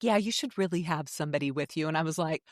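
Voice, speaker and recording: A woman's voice: deep voice, fast talker, dry recording, clean studio sound. An American woman in her forties telling a personal story as a podcast guest, frank and casual, acting out dialogue and swearing now and then. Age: 40s.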